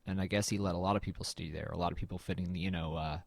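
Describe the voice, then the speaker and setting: Man acting as deep-voiced, brooding melancholy narrator. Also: steady tone, very fast, gravelly, no echo. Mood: brooding, uneasy. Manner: reflective, hesitant, understated. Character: brooding, melancholy male freelance artist narrating. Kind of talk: first-person audio drama narration.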